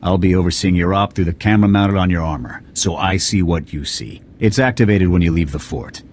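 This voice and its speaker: Actor as deep-voiced, curt military lieutenant. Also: male voice, fairly quick, a little mellow, slight background noise, natural voice. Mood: stern. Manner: curt, commanding, scornful. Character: stern, impatient military lieutenant and operations officer. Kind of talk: video game voice acting.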